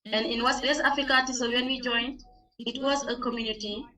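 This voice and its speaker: A woman from Senegal with a Senegalese accent, a non-native English speaker, gives a halting, informal presentation over an online meeting as a teacher on a panel. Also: a little high, fairly even intonation, sharp tone. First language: not English. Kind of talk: informal spoken presentation of own experience. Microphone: video call. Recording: distant online meeting microphone.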